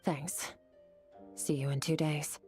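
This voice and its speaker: A woman's voice: deep voice, little pitch movement, slow pace, mellow, faint music behind. A woman playing a stoic, aloof young swordswoman in a video game, terse, cool and restrained. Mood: cool, matter-of-fact. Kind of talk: video game character dialogue.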